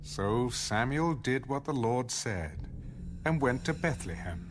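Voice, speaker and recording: A man's voice: medium pitch, sing-song, takes it slowly. A man giving scripted narration of a Bible story as the narrator of a dramatized reading. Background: music.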